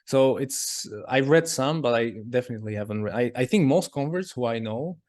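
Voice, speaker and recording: Brief: male interview guest, mid-pitched, casual and rambling, leans on "like" constantly. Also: lively intonation, normal speed, darkish tone. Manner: rambling, heavy use of "like". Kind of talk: casual conversation.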